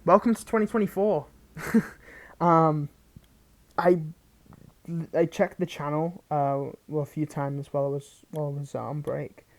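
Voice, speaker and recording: Low-pitched woman, rambling casually. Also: fairly even intonation, a little slow, long pauses, darkish tone. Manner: rambling, hesitant, self-interrupting. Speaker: roleplay audio creator, channel host. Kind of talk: casual unscripted update to listeners.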